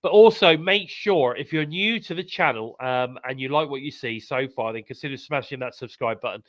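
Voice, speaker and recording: A man's voice: mid-range voice, moderate pace, no echo. A British man with a blocked-up, congested voice, hosting a live wrestling podcast in a chatty, upbeat way. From United Kingdom. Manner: chatty, upbeat, repeats calls to subscribe. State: congested, bunged up. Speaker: podcast host.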